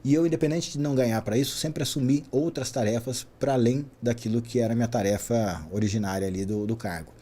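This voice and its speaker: A Brazilian man in his forties, a guest in a podcast interview, telling his career story in an animated way, often tagging his sentences with a quick question. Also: medium pitch. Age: 40s. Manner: animated, frequent "né?" tags. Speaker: guest, traffic safety specialist.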